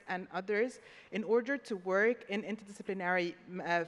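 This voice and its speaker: A woman lecturer on a conference panel, laying out examples in an organized way. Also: mid-range voice. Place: conference hall. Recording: long reverb from a large hall.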